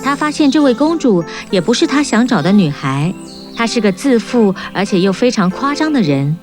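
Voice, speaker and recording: A woman narrating a children's fairy-tale cartoon in a clear storybook manner. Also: fairly high pitch, big pitch swings, moderate pace, a little mellow. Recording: narration over prominent music.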